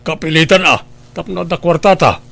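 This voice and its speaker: A man with an Ilocano accent playing a gruff, quarrelsome husband in a radio drama. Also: fairly high pitch, normal speed. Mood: exasperated.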